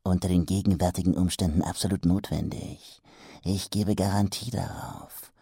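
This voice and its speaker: Man putting on a wheedling street hawker, pushy male seller, hushed whispery patter, very dry audiobook sound. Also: low-pitched, fairly even intonation, normal speed, vocal fry, husky, dry recording, exaggerated voice. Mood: conspiratorial sales pitch.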